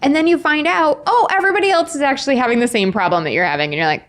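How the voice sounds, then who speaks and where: Animated woman in her forties telling stories. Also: high-pitched, racing. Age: 40s. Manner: animated, acts out quoted dialogue. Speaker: author, podcast guest. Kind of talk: casual anecdotal storytelling. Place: indoors, in-person podcast recording.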